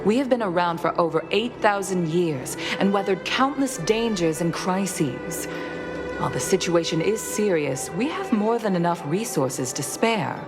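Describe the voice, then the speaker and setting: An actress voicing a stern, formal middle-aged female commander in a video game, speaking with measured firmness. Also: fairly low pitch, lively intonation. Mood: formal, firm. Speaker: guild leader in a sci-fi fantasy game. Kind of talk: scripted video game dialogue. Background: background music.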